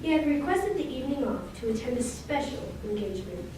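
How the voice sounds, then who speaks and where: A woman's voice: fairly high pitch, sing-song, fairly quick, warm tone. A female performer playing a solemn narrator of a detective mystery in a scripted old-time radio show. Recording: very echoey hall, distant mic, faint noise.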